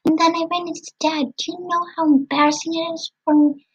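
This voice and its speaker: A woman voicing a proud, excitable younger skeleton brother with a theatrical, pompous manner. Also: very high voice, fairly quick, lo-fi sound, voice pushed up. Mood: indignant, relieved.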